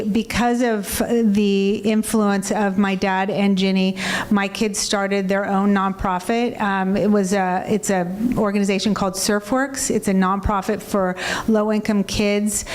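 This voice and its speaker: A woman's voice: medium pitch, darkish tone. A woman in her forties speaking earnestly as a panel guest, with frequent ums. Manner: earnest, many fillers. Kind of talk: reflective panel talk.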